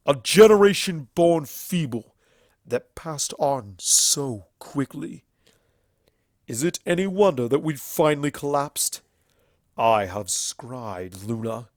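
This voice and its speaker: A man voicing a bitter, ancient alicorn stallion in a storybook reading, declaiming with scorn and drawn-out emphasis. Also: medium pitch, lively intonation, no room sound, studio quality. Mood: scornful fury.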